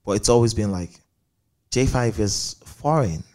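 A man's voice: on the low side, expressive, a little slow, darkish tone. A man conducting a conversational interview, reflective, building questions in repeated phrases.

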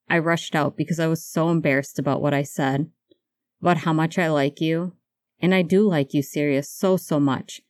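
A woman as an earnest, low-voiced anxious man. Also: no room sound, close to an everyday voice. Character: Remus, earnest, anxious, tender, apologetic man in love. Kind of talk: fan fiction audiobook dialogue.

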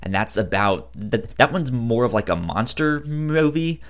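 A man with a stutter and a halting delivery, casually discussing films as a podcast co-host. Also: mid-range voice, expressive. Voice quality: stutter, repeats first sounds of words. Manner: halting, hesitant delivery with frequent restarts.

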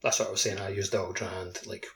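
A man's voice: low voice, level tone, fast, a bit bright, a little hiss or hum. A man co-hosting a podcast in a casual, rambling way, peppering his talk with "like". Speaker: podcast co-host. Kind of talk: casual chat.

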